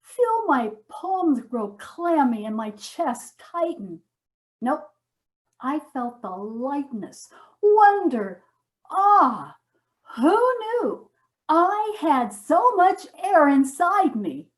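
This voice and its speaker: An actress playing a liberated, wonder-struck yoga student in a comic monologue, with dramatic emphatic pauses. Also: female voice, high-pitched, big pitch swings, exaggerated voice. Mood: awed, liberated. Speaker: amateur stage actor.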